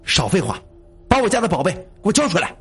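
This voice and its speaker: Man acting a menacing, husky, greedy bully. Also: sits high, very animated, measured pace, darkish tone, soft background music, pitched above the performer's own voice, exaggerated voice. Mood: angry, threatening. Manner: snorting, curt, threatening.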